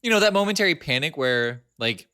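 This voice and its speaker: Guy on a podcast, bright voice, dry close sound, laughs a lot. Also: a little high, a little fast, smooth, dry recording. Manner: laughs often, chatty and animated. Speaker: podcast co-host. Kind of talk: casual chat.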